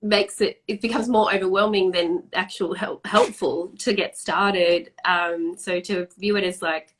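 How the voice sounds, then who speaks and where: A woman's voice: fairly low pitch, steady tone, unhurried, fairly bright tone, a little hiss or hum. A woman hosting a live-streamed video interview, talking in a chatty, enthusiastic way with many "um" fillers. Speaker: host.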